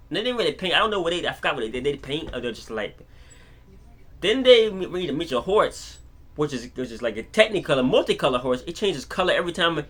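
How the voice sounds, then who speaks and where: A man giving an unscripted casual film review, excitable and rambling, often repeating his words as he retells scenes. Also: a little high.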